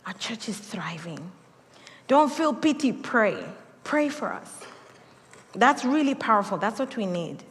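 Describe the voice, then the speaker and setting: A woman pastor from Kenya with a Kenyan accent, preaching a sermon with animated storytelling, acted quotes and laughter. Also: fairly high pitch, unhurried. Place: church sanctuary. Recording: long church hall reverb.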